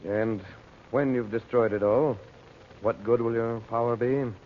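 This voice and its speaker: An actor playing a composed, skeptical heroic magician in a measured, dryly questioning way, in an old-time radio serial. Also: male voice, medium pitch, steady tone, slight background noise, lightly acted. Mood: guarded, skeptical. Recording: thin, phone-like old radio recording.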